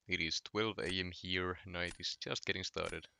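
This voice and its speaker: A man's voice: low-pitched, measured pace, vocal fry, a little hiss or hum. A young man from Finland with a Finnish accent, chatting casually on a late-night gaming stream while answering his chat. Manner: relaxed, reading chat aloud and answering.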